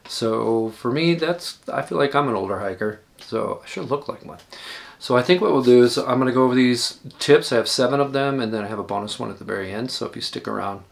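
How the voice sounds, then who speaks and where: A man in his sixties giving casual, rambling hiking advice, often pausing and correcting himself. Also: mid-range voice, creaky. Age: 60s. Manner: relaxed, rambling, self-correcting. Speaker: hiking vlogger.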